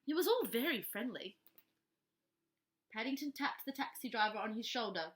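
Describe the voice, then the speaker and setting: A woman reading a children's story aloud expressively, animated and adding playful little asides. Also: on the high side, moderate pace. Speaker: storybook reader.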